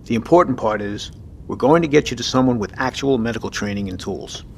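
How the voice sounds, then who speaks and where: An actor playing a decisive, gruff navy submarine captain in an audio drama, with commanding, clipped, profane delivery. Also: male voice, mid-range voice, expressive, a little fast, a little hiss or hum. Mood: calm, firm. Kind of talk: scripted audio drama acting.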